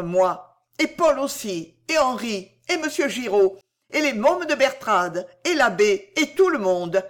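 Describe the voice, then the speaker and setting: A woman voicing an artless, devoted young boy who chatters and blurts things out excitably in a storybook reading. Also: on the high side, pitched above the performer's own voice.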